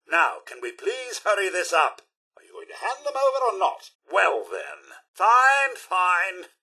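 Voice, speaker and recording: A man's voice: a little high, pushed and bright, husky, exaggerated voice. A man voicing a devoted, fussy British robot butler in a video game, speaking with formal, effusive, theatrical politeness. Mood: haughty, exasperated. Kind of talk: video game character acting.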